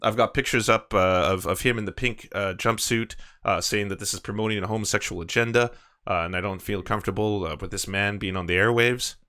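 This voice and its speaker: A man's voice: lowish voice, fairly even intonation, brisk, bright, forceful tone. A man making a prank phone call, complaining with earnest, indignant insistence. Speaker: prank caller posing as churchgoing parent.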